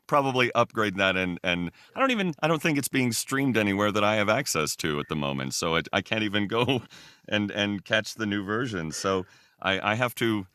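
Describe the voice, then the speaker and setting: A man's voice: on the low side, lively intonation, moderate pace, pushed and bright, clear, resonant tone, no room sound. A man chatting casually as a guest on a podcast. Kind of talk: casual chat.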